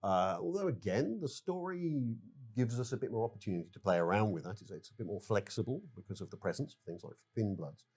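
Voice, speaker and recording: Casting: male game developer interviewee, lowish husky voice, halting, considered explanations. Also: lively intonation, darkish tone. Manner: halting, deliberate, measured phrases.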